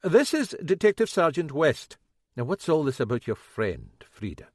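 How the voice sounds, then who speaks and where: A man voicing a shrewd middle-aged Scottish detective inspector with a dry, brisk, commanding manner and a Scottish accent. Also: a little high, very animated, slightly rough, dry recording, voice pushed up. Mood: brusque.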